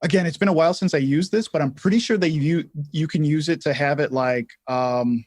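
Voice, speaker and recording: A man's voice: a little high, fairly quick, a little mellow, dry recording, clean studio sound. A man co-hosting a tutorial livestream, explaining features and answering questions in a casual, conversational way.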